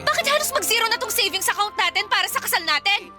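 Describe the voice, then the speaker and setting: A woman's voice: very high-pitched, expressive, quick pace, over loud music. A woman playing an exasperated young fiancée in a radio drama, questioning her partner in an emphatic, scolding manner. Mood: angry, hurt. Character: exasperated young fiancée confronting her boyfriend over money. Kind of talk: Filipino radio drama acting.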